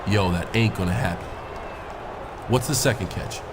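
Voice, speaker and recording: An actor voicing a cocky, combative pro wrestler with boastful street slang. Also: male voice, fairly low pitch, normal speed, a crowd in the background, noisy background, natural voice.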